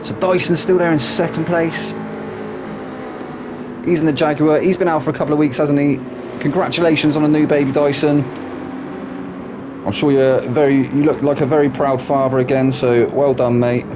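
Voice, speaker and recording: A man's voice: level tone, moderate pace, pauses often. A man with a snuffly hay fever voice, commentating a sim racing league in a chatty way, full of tag questions. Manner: chatty, asks tag questions, drops low for asides. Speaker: race commentator. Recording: loud game engine noise behind voice.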